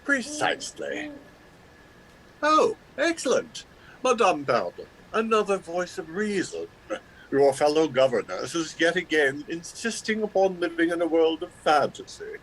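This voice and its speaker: A man's voice: a little high, sing-song, measured pace, a bit bright, a little hiss or hum, low-quality recording. An actor playing a pompous, fussy, hesitant father in a radio drama. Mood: pompous, exasperated.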